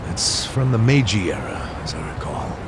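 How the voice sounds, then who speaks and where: An actor playing a gruff, matter-of-fact male companion in a video game. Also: deep voice, sing-song, normal speed, heavy background noise. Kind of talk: English game dub acting.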